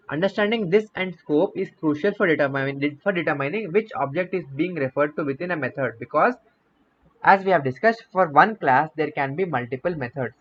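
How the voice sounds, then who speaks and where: Young man teaching code. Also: on the high side. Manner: explanatory, repeats and rephrases himself. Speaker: coding bootcamp instructor. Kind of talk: online coding lecture. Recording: slightly dull audio with faint noise.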